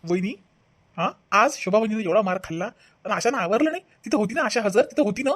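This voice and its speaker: A woman's voice: medium pitch, lively intonation, fast talker, close to an everyday voice. A woman voicing a hot-tempered, accusing husband in a family comedy cartoon, repetitive and melodramatic, with a Vidarbha Marathi accent. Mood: defensive. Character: hot-tempered husband devoted to his sister-in-law. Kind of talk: animated family comedy acting.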